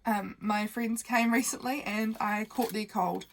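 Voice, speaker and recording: A woman's voice: mid-range voice, steady tone, measured pace, slight background noise, low-quality recording. A young woman who sounds nasal from a cold, hosting a casual, meandering craft tutorial full of fillers while she works at her desk.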